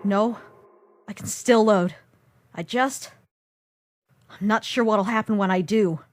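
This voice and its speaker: A woman's voice: mid-range voice, takes it slowly, lightly acted. A woman voicing a determined young child hero who speaks earnestly in a fan comic dub. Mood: uneasy. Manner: earnest and resolute. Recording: long hall reverb, clear background noise.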